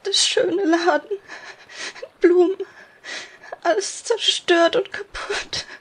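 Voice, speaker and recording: A woman playing a gentle, helpful flower shop saleswoman in a parody detective audio drama. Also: very high voice, fairly even intonation, slow, a little hiss or hum. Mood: distraught. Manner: polite, hesitant service talk.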